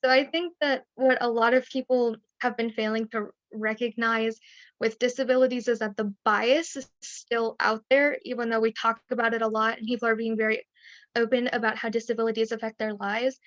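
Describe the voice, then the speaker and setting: A woman's voice: fairly high pitch, level tone, a little fast, fairly bright tone, no echo. A woman on a video webinar telling a personal story candidly, with rueful little laughs along the way. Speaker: webinar panelist. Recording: dry, dull lo-fi sound.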